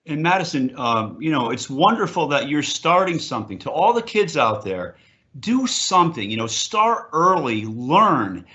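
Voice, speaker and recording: Bright, animated man cheering on young entrepreneurs. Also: on the high side, normal speed, highs cut off. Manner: encouraging, short punchy phrases. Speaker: panelist encouraging young entrepreneurs. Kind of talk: informal motivational advice. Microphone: online call.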